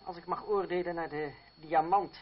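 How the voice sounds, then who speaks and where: An actor playing a proud, bitterly sarcastic jilted suitor in a Dutch radio play, speaking formally and with self-control. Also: male voice, a little high, steady tone, normal speed, lightly acted. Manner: formal, sardonic. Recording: muffled old radio recording.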